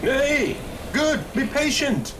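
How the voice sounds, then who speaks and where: A man playing a calm, wry old counselor in a Shakespearean audio drama, speaking in a measured, declamatory way. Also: very high-pitched, heavily acted. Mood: placating. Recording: noisy home online recording with storm.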